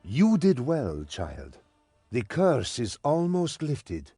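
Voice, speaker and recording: Stern, expressive old mentor. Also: male voice, medium pitch, slow, slightly rough, soft background music, heavily acted. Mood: grave, kindly. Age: older. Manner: theatrical, commanding. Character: stern old male mentor, servant of a master. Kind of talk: scripted game cutscene acting.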